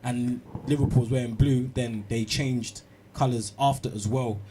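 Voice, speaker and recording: A man's voice: mid-range voice, steady tone, a little slow, noisy background. A young man with a London accent co-hosting a show, telling stories and bantering in casual slang. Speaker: co-host.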